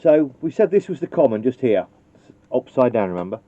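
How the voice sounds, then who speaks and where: A man's voice: medium pitch, sing-song, fairly quick. A man casually demonstrating how to wire a radio, thinking aloud and joking at his own expense. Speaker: CB radio technician. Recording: dull lo-fi recording.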